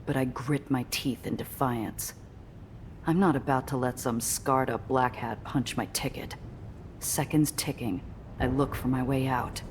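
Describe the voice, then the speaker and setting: Noir game lead: extremely low female register, gritty and defiant, dramatic first-person narration. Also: steady tone, unhurried, slight background noise. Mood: tense, wry. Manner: hardboiled, dramatic delivery.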